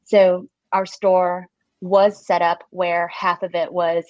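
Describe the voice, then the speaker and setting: A woman's voice: fairly low pitch, flat delivery, takes it slowly, no room sound. Chatty woman in her thirties, muffled. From Singapore. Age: 30s. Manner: chatty and friendly, frequent "like" and "um", laughing easily. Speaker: stationery shop owner, podcast guest. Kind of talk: casual interview conversation. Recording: slightly muffled dry audio.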